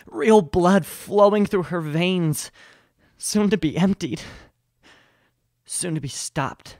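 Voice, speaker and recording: Man acting a sombre, slightly high-voiced survivor. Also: takes it slowly, darkish tone, no room sound, studio quality. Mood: pained, sorrowful. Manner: sombre, dramatic, weighty phrasing. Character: shaken, grieving male survivor narrating a nightmare. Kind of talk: scripted first-person audio drama narration.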